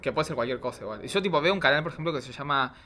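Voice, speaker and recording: An Argentine man chatting casually as a drag queen guest, with an excitable, slangy, filler-heavy manner. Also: on the high side, very fast, a little hiss or hum. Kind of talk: casual chat.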